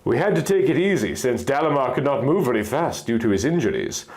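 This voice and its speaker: A man's voice: mid-range voice, very animated, a little fast, darkish tone. A man narrating a first-person fantasy story in an earnest, theatrical storytelling manner.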